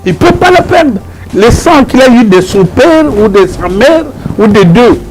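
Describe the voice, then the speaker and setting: A man with a Congolese French accent speaking as a professor in an interview, arguing emphatically and deliberately, repeating phrases for emphasis. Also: very high voice, big pitch swings, music in the background, low-quality recording. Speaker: professor, guest and spokesperson. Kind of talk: earnest interview argument.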